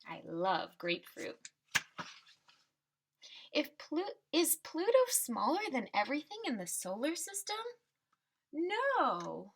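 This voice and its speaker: A woman reading a children's picture book aloud and explaining it simply, in a deliberate teaching manner. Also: sits high, sing-song, gravelly, breathy edge.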